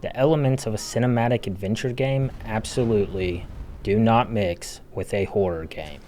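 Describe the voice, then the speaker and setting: A man narrates an opinionated video essay in a fairly low voice. His delivery is blunt and casual, like a critic speaking his mind. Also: moderate pace, warm tone, a little hiss or hum.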